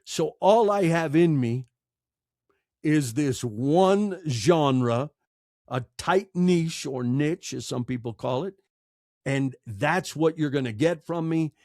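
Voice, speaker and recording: A man's voice: fairly high pitch, animated, slow, a little mellow, no echo, clean studio sound. A man speaking as a podcast guest in a conversational, storytelling way, emphatic, with rhetorical questions and repeated phrases. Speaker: podcast guest, broadcaster and audiobook narrator.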